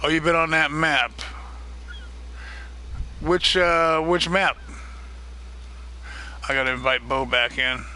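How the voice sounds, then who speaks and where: A man hosting a live stream of a multiplayer farming game, chatting casually and greeting viewers by name, his voice getting a little hoarse. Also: a little high, steady tone, takes it slowly, long pauses, pushed and bright, slight background noise. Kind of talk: casual live-stream chat while gaming.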